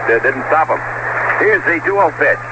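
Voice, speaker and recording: A man's voice: fairly high pitch, animated, normal speed. A man doing live play-by-play baseball commentary as a radio announcer. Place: stadium broadcast booth. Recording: dull, noisy 1970s radio broadcast.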